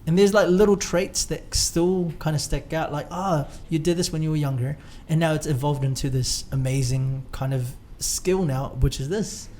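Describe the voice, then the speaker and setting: A man's voice: on the high side, moderate pace, few pauses, darkish tone, slight background noise. Rambling young man hosting a podcast. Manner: rambling, hesitant, lots of fillers. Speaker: podcast host. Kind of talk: casual chat.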